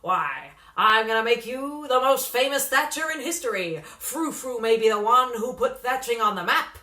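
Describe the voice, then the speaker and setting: Woman as boastful male talent manager, storybook villain-salesman bluster, emphatic, forceful, swooping pitch. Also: animated, moderate pace, reverberant. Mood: boastful, scornful. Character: brash, boastful male showbiz manager, fast-talking salesman type.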